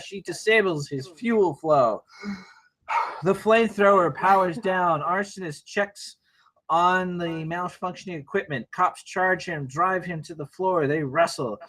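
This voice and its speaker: A man's voice: high voice, fairly bright tone, poor audio. A man reading screenplay action lines as the narrator at an informal table read.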